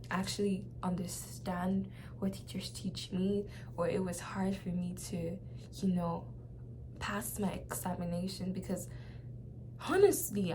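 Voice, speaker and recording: A young woman with a Nigerian accent shares a personal testimony in a casual, halting way, often repeating phrases for emphasis. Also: mid-range voice, noisy background. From Nigeria (Lagos). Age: young adult. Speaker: vlogger.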